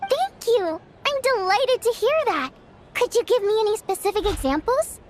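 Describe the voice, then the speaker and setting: A woman playing a bubbly, cunning young theater singer in a video game, expressive, chirpy and coaxing. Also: very high-pitched, moderate pace, noisy background. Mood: sweet, delighted. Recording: music under the voice.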